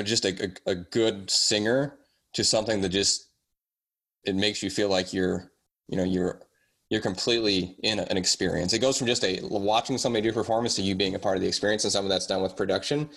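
A man's voice: sits low, creaky, a bit bright. A man speaking casually as a podcast guest, tentative and rambling, often qualifying what he says.

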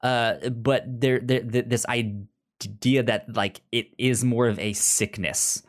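A podcast co-host talks in a casual, conversational way. The speech stammers, often repeating sounds and whole words before moving on. The pitch sits in a middle range. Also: fairly even intonation, slow pace. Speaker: podcast co-host reviewing audio drama. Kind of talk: casual chat.

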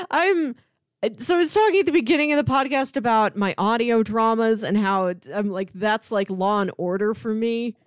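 A woman's voice: fairly high pitch, lively intonation, moderate pace, dry recording, clean studio sound. A woman co-hosting a casual podcast, chatting in an enthusiastic, effusive way. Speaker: podcast co-host.

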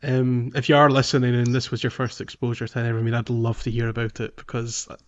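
A man co-hosting a casual video game podcast, talking in an animated way and repeating phrases for emphasis. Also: medium pitch, quick pace, highs cut off. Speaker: podcast co-host.

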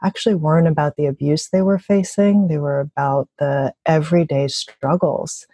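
A woman speaks as an interview guest in a low, dark-toned voice with a husky edge. She is reflective and thoughtful, talking conversationally about her own experience. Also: steady tone, moderate pace, dry recording. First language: bilingual, also speaks Mandarin. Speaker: interviewee, labor organizer and activist.

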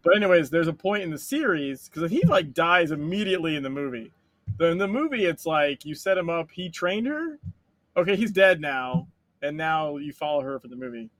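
A man co-hosting a casual chat, telling stories in an animated, joking way and laughing mid-sentence. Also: a little high, a little fast, no room sound. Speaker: co-host.

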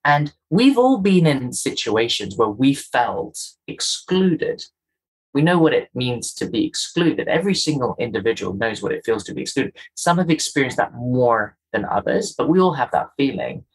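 Husky-voiced man, earnest and emphatic. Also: medium pitch, big pitch swings, normal speed, low-quality recording. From Kenya. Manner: earnest, emphatic, builds points in lists of rhetorical questions. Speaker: guest, culture and inclusion executive. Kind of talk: conversational interview, explanatory.

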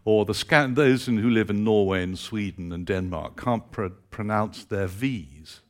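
Male guest preacher, lowish voice, dry wit, measured delivery. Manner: dry teasing humour, then steady scripture reading. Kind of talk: sermon. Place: church auditorium.

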